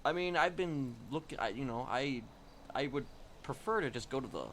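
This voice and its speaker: A man's voice: mid-range voice, expressive, normal speed, fairly bright tone, a little hiss or hum. A man chatting casually in a role-play game, rambling and hesitant, padding his sentences with filler phrases. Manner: hesitant, rambling, often saying "you know". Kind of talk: casual in-character chat.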